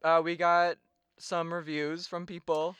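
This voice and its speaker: Young male podcast co-host, bright and smooth, chatty and casually profane. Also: a little high, flat delivery, a little slow, no room sound, highs cut off. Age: young adult. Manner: chatty, laughs often, swears casually. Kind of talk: casual chat. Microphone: sits some way back from the mic.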